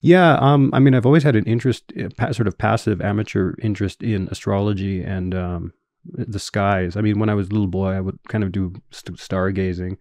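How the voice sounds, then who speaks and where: A man in his thirties with a Canadian accent, a guest scholar giving measured, explanatory answers in an interview with frequent fillers. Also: lowish voice, steady tone, a little mellow, dry recording, studio quality. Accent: Canadian English.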